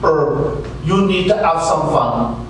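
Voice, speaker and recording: A man's voice: fairly high pitch, animated. A man playing a carefree, philosophizing flying robot sidekick in a comic radio play. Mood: jovial, carefree. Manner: unhurried, philosophizing, jovial. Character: carefree flying robot sidekick Smokestack, life-loving joker. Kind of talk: comic radio theater acting. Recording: echoey, distant mic, noisy background.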